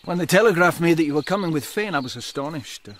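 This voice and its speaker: An actor playing a wry, hard-drinking colonial gentleman in a radio drama, teasing and cynical, with a sardonic, probing way of talking. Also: on the high side, lively intonation, quick pace, slight background noise. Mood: amused, probing.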